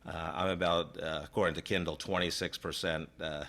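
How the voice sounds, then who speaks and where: Low-voiced man hosting a podcast chat. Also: flat delivery, brisk, a bit bright. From New York. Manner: rambling, many filler words and restarts. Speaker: podcast host. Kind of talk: casual interview chat.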